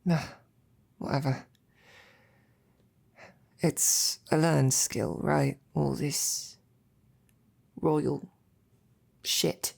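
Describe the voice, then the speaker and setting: A woman playing a devoted, self-doubting female royal knight in an intimate roleplay audio, speaking in a hesitant, informal, tender way with a low, gravelly voice. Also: fairly even intonation, drawn-out words, long pauses, a little mellow, heavily acted. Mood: self-deprecating.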